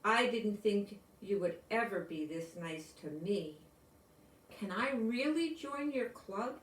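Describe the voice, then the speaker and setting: A woman's voice: mid-range voice, lively intonation, slow. Woman reading as sincere storybook schoolboy, echoey room. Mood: touched, apologetic. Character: touched, repentant schoolboy in a storybook. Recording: roomy echo, distant microphone.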